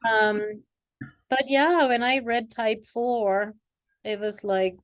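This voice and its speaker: A woman's voice: on the high side, takes it slowly. A woman in her forties, originally from Sri Lanka, telling her story as an interview guest with a reflective manner. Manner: reflective, warm storytelling with fillers. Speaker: guest, executive coach. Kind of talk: conversational interview.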